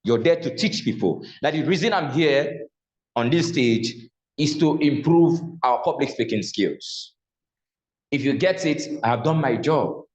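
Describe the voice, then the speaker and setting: A man's voice: medium pitch, expressive, measured pace. A man with a Nigerian accent teaching a public speaking class, often posing a question to the room and then answering it himself. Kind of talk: classroom lecture. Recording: echoey room, slightly dull sound.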